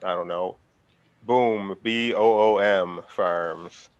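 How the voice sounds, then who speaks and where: A man's voice: low voice, steady tone, slow pace, clean tone, highs cut off. A man joking around in a casual chat and laughing often. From Canada.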